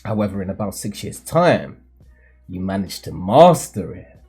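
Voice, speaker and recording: A man voicing a solemn, cryptic mentor who sees the future, in a theatrical, measured, formal manga read-aloud. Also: low-pitched, very animated, darkish tone, husky, faint music behind, voice pushed down, exaggerated voice. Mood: solemn, instructive.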